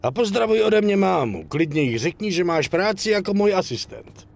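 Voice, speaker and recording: An actor playing a bossy, brusque boss in a dubbed game, with a raspy, gravelly voice and a curt, patronizing manner. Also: male voice, high voice, sing-song, exaggerated voice. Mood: brusque, mocking. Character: bossy, brusque male boss who hands out pay. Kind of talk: dubbed video game cutscene acting.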